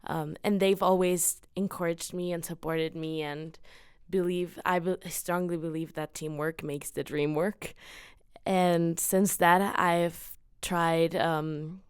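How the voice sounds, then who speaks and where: A woman's voice: lowish voice, fairly even intonation, slow, clean tone, no room sound, clean studio sound. A woman in her late teens from Mexico, giving enthusiastic, earnest, casual answers as an interview guest. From Cancun, Mexico. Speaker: podcast guest, student singer-songwriter.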